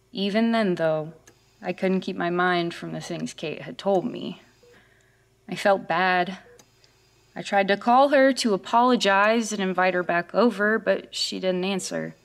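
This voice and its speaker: A woman's voice: mid-range voice, lightly acted. A woman playing a polite, uneasy young woman in a scripted horror audio drama, in both dialogue and narration.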